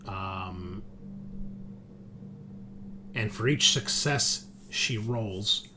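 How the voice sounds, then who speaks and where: Low-voiced male game master. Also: extremely slow, noisy background. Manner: informal, explanatory, swears casually. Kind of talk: casual tabletop game narration.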